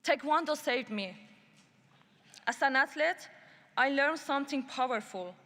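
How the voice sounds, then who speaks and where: A woman from Iran giving a prepared speech at a human rights summit. She speaks in a deliberate, measured and emphatic way. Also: sits high, expressive, sharp tone. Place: large conference hall. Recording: long hall reverb.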